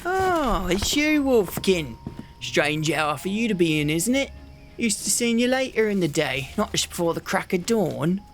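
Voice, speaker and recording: A man's voice: sits high, sing-song, unhurried, heavily acted. A man playing a jovial city gate guard in a fantasy ASMR roleplay, with a folksy, teasing manner. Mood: teasing, amused.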